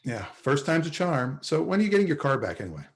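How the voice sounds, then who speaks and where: A man's voice: fairly high pitch, sing-song, fast talker. A man playing a defensive, wisecracking slacker salesman in a sitcom read over a video call, with a dry, offhand manner.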